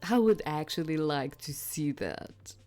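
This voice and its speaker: Lower-voiced woman playing and narrating a game. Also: expressive, measured pace, a little mellow, faint music behind. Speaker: let's play host. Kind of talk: reading game narration aloud with casual commentary.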